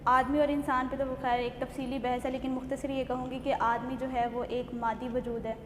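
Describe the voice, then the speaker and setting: A Pakistani woman hosting a literary show, with formal, reflective and measured wording. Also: high-pitched, level tone. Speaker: host. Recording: echoey hall, audible background noise.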